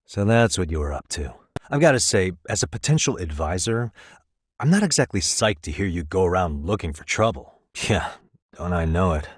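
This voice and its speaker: A man's voice: low-pitched, expressive, normal speed, husky, no echo. A male actor with an American accent playing a wry, easygoing private detective in a video game, talking in a dry, casual, persuasive way. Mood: measured, questioning. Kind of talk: scripted game dialogue, conversational.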